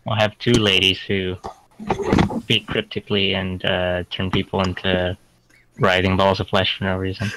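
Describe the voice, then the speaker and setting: A man's voice: sits low, little pitch movement, normal speed, bright, forceful tone, rough recording. A man chatting casually with friends in a wry, rambling way. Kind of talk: casual joking group chat. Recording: noticeable background noise, clicks.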